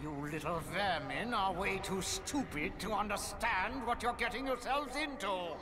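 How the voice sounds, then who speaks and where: High-pitched manic mad scientist. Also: male voice, unhurried, loud music behind the voice. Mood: manic, sneering. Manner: taunting, excitable. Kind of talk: video game cutscene acting.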